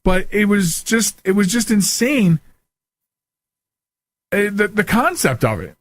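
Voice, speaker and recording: A man's voice: high voice, big pitch swings, slow. An American man hosting a radio talk show in a casual, chatty, folksy and joking way.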